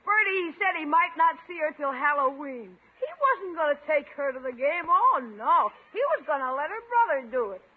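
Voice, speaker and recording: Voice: high-pitched, animated, normal speed, few pauses. An actor playing a brash, sarcastic, teasing young nephew in 1950s radio sitcom style. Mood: mocking. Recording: narrow-band old radio recording.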